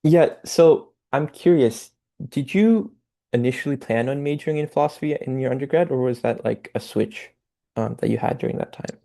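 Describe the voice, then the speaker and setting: A man's voice: mid-range voice, moderate pace, vocal fry, warm tone. A young man hosting a podcast, reading an upbeat intro and then asking casual interview questions. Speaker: podcast host.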